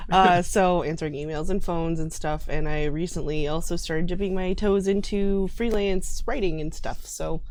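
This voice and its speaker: A woman's voice: on the low side, fairly even intonation, normal speed, few pauses, a little mellow. A woman chatting casually as a show guest, playful and joking with a self-deprecating streak. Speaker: show guest, cast member. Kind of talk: casual chat.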